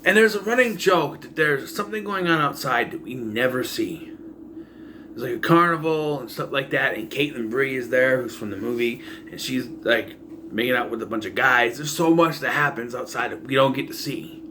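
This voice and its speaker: A man giving a casual, rambling, improvised recap as a reviewer of an animated series. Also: mid-range voice, moderate pace. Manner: loose, improvised, acting out quoted bits. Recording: faint background noise.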